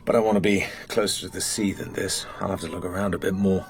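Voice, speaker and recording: A suave English spy in a radio drama, his voice very low. He speaks in a clipped, dry, understated way, worldly and a little weary, with music in the recording. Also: fairly even intonation, fast. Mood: casual, charming. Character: suave, world-weary British male secret agent.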